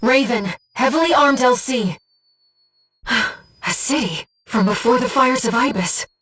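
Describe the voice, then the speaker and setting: A measured, disembodied female mission operator. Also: on the high side, fairly bright tone, husky, distant mic. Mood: urgent, alert. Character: disembodied female mind-voice guiding a mech pilot, calm, devoted. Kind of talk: video game voice acting, mission operator dialogue.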